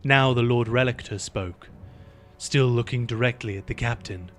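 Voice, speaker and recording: A man's voice: medium pitch, moderate pace, a bit bright, soft background music. A man reading scripted narration as the storyteller of a fantasy audio drama.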